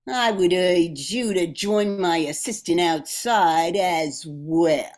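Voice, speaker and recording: A ruthless female mob boss in her fifties, played by a woman for a radio drama. She speaks slowly in a fairly low voice, with a calculating, commanding menace. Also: darkish tone. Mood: cool, commanding. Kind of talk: amateur radio drama acting.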